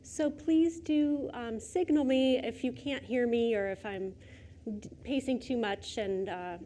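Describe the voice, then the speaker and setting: Middle-aged woman, soft voice, slightly high, chatty lecture on a hall mic with faint noise. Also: measured pace. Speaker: guest lecturer. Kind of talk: public lecture. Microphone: lecture microphone.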